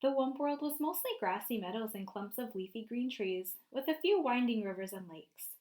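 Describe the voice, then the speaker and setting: A woman teacher reading a picture book aloud to young children, expressive and often prompting her listeners with questions. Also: fairly high pitch, moderate pace.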